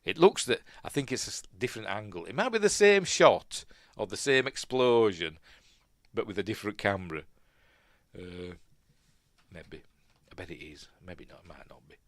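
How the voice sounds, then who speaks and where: A man with a Yorkshire accent hosting a casual film commentary, rambling and musing aloud, often second-guessing himself and trailing off quietly. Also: on the low side, animated, moderate pace, dry recording. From Yorkshire, England. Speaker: film review host.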